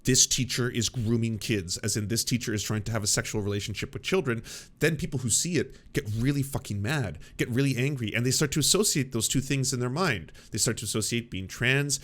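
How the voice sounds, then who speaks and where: A man's voice: medium pitch, brisk, a bit bright. A man hosting a live stream, reacting to clips with sarcastic, rambling political commentary. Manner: sarcastic, mocking, rambling with fillers.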